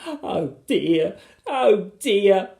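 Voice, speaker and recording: A storybook reader voicing a tiny, anxious, excitable mother in a fretful, exclaiming manner. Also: high-pitched, a little slow, echoey room, pitched above the performer's own voice, full character voice. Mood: sobbing, distressed.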